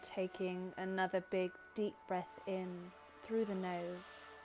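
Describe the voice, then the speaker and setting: A woman leading a guided meditation in gentle, soothing, unhurried short phrases with long pauses. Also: lowish voice, flat delivery, background noise. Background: background music.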